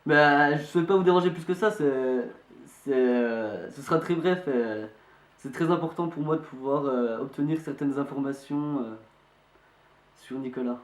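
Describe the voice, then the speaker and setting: A man playing an amateur investigator, speaking politely and haltingly as he asks questions. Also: mid-range voice, natural voice. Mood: polite, insistent. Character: young male amateur investigator, polite, insistent, hesitant. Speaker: amateur investigator presenting a video. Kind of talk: hesitant spoken phone inquiry. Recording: echoey room.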